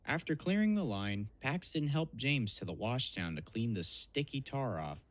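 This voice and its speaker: A man's voice: mid-range voice, big pitch swings, moderate pace, no room sound, natural voice. A man giving scripted narration of a children's story. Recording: music behind the voice.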